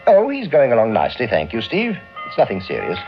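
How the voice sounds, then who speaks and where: An actor playing a well-spoken, authoritative senior police gentleman with a Received Pronunciation accent in a mid-century radio drama. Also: medium pitch, huge pitch swings, soft background music. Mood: genial. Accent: British Received Pronunciation. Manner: brisk, commanding, well-spoken. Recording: muffled old radio recording, faint noise.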